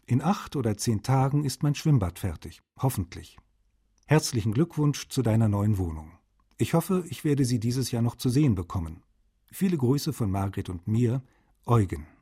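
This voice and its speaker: An actor playing a male translator who reads wry, friendly letters in a soft, husky voice for a radio feature. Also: medium pitch, big pitch swings, moderate pace. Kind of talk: scripted radio feature reading.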